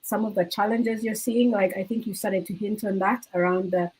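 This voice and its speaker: A woman's voice: mid-range voice, steady tone, moderate pace, a little mellow, slightly rough, poor audio. A woman moderating a panel discussion, asking loose, casual questions full of hedges and fillers.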